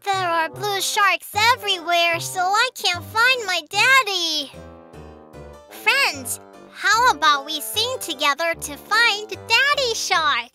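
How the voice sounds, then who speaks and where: Very high-voiced little baby shark. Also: female voice, animated, sharp tone, heavily acted. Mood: earnest, hopeful. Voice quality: small, childlike voice. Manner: slow, exclamatory, simple phrasing. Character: little baby shark child Brooklyn, earnest, sweet, excitable. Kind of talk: children's cartoon acting, addressing young viewers. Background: children's music.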